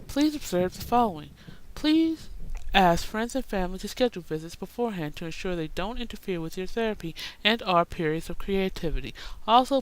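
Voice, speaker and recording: A woman streaming a video game, commenting casually and reading game text out loud. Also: on the low side. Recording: noisy stream capture with clicks.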